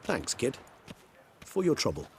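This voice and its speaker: An actor playing an erudite, courteous gentleman companion in a video game, speaking in measured, formal, wordy sentences. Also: mid-range voice, wildly swooping pitch, creaky, slight background noise, full character voice. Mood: kindly, persuasive.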